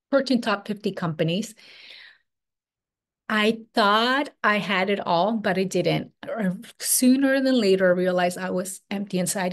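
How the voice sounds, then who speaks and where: Woman, spiritual teacher, casual flowing talk, webcam call sound. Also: mid-range voice. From Peru. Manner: casual, flowing, filler-heavy with frequent "like" and "um". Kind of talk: online workshop presentation. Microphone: webcam on video call.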